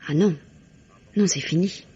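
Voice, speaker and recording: A woman's voice: fairly low pitch, unhurried, mellow, slightly rough. An actress playing an anxious, grieving young woman in a French radio mystery, reserved and hesitant. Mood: grave, reserved. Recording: dull old radio recording.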